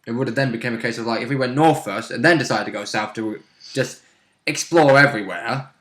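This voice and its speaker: A man with a middle-pitched voice in a roomy, echoing recording. He is a tabletop player chatting casually, tossing in wry, sweary jokes. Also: fairly quick, fairly bright tone. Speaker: player in a tabletop RPG, co-commentator. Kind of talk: casual chat, joking interjections.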